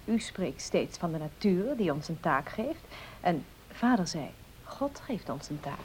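Hissy recording of a devout young niece. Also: female voice, fairly low pitch, very animated, unhurried, darkish tone. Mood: polite, earnest. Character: polite, devout young niece, a minister's daughter. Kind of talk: Dutch radio play acting. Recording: hissy old radio recording.

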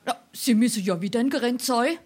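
A saucy, high-voiced Hessian waitress. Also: female voice, a little fast, a little mellow, husky. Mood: disapproving. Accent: South Hessian, Darmstadt dialect. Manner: snappy, scornful. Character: saucy, sharp-tongued tavern waitress, unimpressed. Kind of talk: dialect stage comedy acting.

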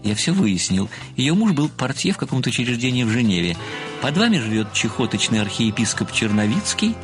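A man's voice: mid-range voice, big pitch swings, a little fast, music in the background, natural voice. An actor playing an earnest, self-satisfied amateur sleuth in an audio drama, admiring yet pedantic, formal and precise in his address. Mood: smug, methodical. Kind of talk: theatrical audio drama dialogue.

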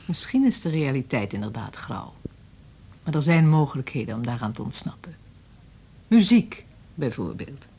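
An actress playing a wary music school representative in a radio drama, guarded, dry and sardonic. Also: female voice, very deep voice, very animated, moderate pace, long pauses, mellow, slightly rough, full character voice. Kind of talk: Dutch radio drama dialogue.

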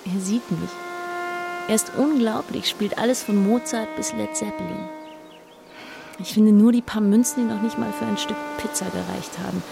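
A woman's voice: medium pitch, normal speed, gravelly, natural voice. An actress playing a shy, lonely, grieving teenage schoolgirl in an introspective, hesitant inner monologue for a radio play. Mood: fond. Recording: music under the voice.

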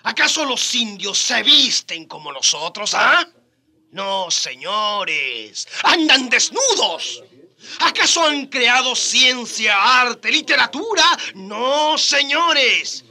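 A pompous, haughty male scholar in a radio play. His very high, piercingly sharp voice has a gravelly edge, and he declaims rhetorical questions at his opponents. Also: big pitch swings, takes it slowly, exaggerated voice. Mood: scornful. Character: haughty Spanish scholar debating against equality of American Indians. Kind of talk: radio drama acting.

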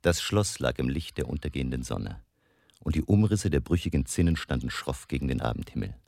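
An actor playing a grave older vampire hunter who narrates a radio drama in a solemn, intense, deliberate, gravelly way. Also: male voice, a bass voice, sing-song, a little mellow, exaggerated voice. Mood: solemn narrating. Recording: faint music behind the voice.

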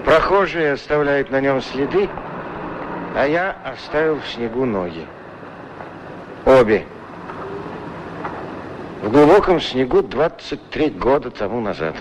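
Middle-aged male shoeshiner played by an actor, wry and philosophical, unhurried delivery in short halting phrases, 1960s radio drama with noisy street background. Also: mid-range voice, expressive, muffled recording, lightly acted. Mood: wry musing. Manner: musing, self-deprecating, halting short phrases. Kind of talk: Soviet radio play acting, musing monologue. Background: outdoor street ambience sound effects.

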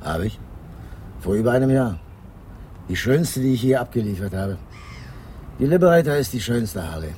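Curt motorcycle mechanic, acted by a man, very lively pitch. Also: normal speed, pauses often, a little hiss or hum, close to an everyday voice. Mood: proud, terse. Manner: curt, clipped answers. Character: gruff, proud motorcycle mechanic, male, short with callers. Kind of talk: German radio crime drama acting.

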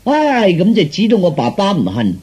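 A male comedian acts out a wisecracking young Cantonese cousin for a radio play. The voice is raised and very lively, with a rough, husky edge. He exclaims and teases with heavy emphasis. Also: high voice, normal speed, heavily acted. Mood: indignant.

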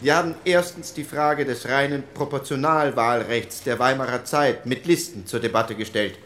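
A man's voice: mid-range voice, moderate pace, fairly bright tone, a little hiss or hum, natural voice. Sober 1940s politician guy, done by a male actor, medium voice, methodical committee report, radio drama. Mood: methodical, matter-of-fact. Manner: methodical, formal. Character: sober male post-war German parliamentarian reporting to committee. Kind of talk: dramatized reading of parliamentary minutes.

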